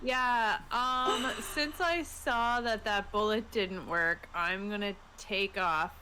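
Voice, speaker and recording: A woman's voice: medium pitch, slow pace. A woman chatting casually as a player in a tabletop game. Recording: clearly noisy room recording.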